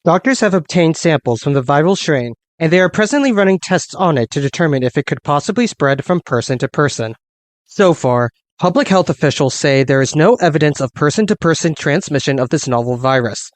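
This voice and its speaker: A man playing a composed evening news anchor, reading scripted news in a formal, measured way. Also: on the high side, animated, no room sound, natural voice.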